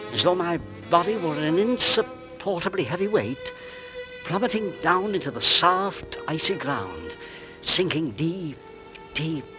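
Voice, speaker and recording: An actor playing an anxious, tender husband in a 1940s radio suspense play, with a melodramatic delivery. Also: male voice, on the high side. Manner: melodramatic, genteel, hesitant in dialogue. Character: anxious, tender husband narrating his own mysterious illness. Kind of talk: 1940s radio suspense acting, first-person narration and dialogue. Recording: vintage radio drama with music underneath.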